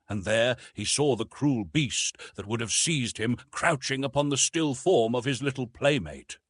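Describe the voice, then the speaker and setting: A man reads a classic adventure story as an audiobook narrator. His mid-pitched voice swings widely and expressively through the measured, dramatic prose. The tone is husky, and the recording is close and dry. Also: dry recording. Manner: expressive, measured literary reading.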